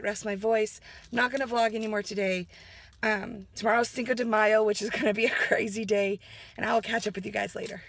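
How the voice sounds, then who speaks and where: A woman teacher vlogging casually, chatty and upbeat with rambling asides, her voice hoarse as it comes back from being lost. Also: a little high, a bit bright, slight background noise. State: hoarse, recovering voice. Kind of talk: casual vlog.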